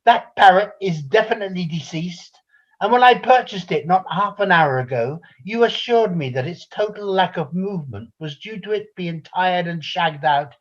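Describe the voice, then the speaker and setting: A woman's voice: on the low side, animated, moderate pace. A woman playing an indignant, exasperated pet-shop customer in an amateur radio comedy, complaining insistently with pompous formality. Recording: dull, band-limited recording.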